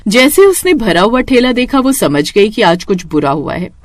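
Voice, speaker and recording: A woman's voice: a little high, very animated, moderate pace, dry recording. A woman narrating a scripted cartoon moral story as a storyteller.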